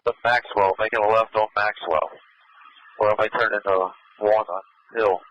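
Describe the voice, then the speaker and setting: A man's voice: on the low side, fairly even intonation, moderate pace, a bit bright. A man calling in terse location updates over police radio, with hesitations as he goes. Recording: muffled scanner radio audio.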